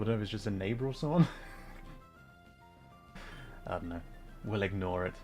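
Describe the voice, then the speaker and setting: A man doing casual livestream chat and game commentary, often breaking off mid-thought to answer viewers. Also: mid-range voice. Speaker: livestreamer playing a game and chatting.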